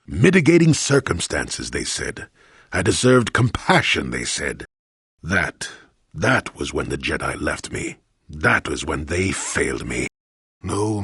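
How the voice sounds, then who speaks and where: Wanted: gruff elderly ex-warrior in a video game, deep and raspy, wry and rueful, with big dramatic swings. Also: male voice, a bit bright, dry recording, studio quality, heavily acted. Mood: bitter, self-reproachful. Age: older. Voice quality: gravelly, husky. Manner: wry, rambling, dramatic storyteller. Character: gruff, rueful, cantankerous old male former Jedi. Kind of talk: scripted video game voice acting.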